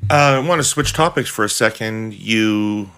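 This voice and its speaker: A man's voice: on the low side, normal speed, a bit bright, smooth. A middle-aged man hosting a podcast interview, pressing his guest with probing follow-up questions over a video call from a home office. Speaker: podcast host. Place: home office with bookshelves, indoors.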